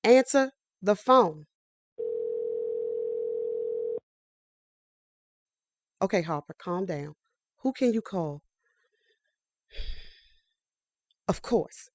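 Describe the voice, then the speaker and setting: An actress playing a driven, indignant engineer recruit in an audio drama, speaking in emphatic, insistent demands. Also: female voice, medium pitch, animated, a little slow, lightly acted. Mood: frantic, irritated. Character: Harper, driven, indignant woman engineer recruit, easily exasperated.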